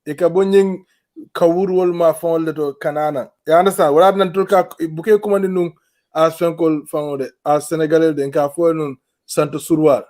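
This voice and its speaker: A man from Gambia hosting a panel talk show, explaining his points in a measured way and checking that others follow. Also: fairly high pitch, steady tone, a little mellow. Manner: measured, explaining, often asks "you understand?". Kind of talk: panel discussion, mixing English and a local language.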